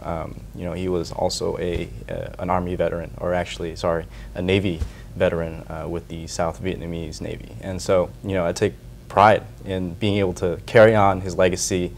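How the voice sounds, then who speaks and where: A young American man who works as a senate staffer, answering interview questions in an earnest, grateful way, often pausing with fillers. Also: low-pitched, little pitch movement, creaky, slight background noise. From United States. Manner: soft-spoken, earnest, with frequent fillers and restarts. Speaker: senate staffer for community outreach.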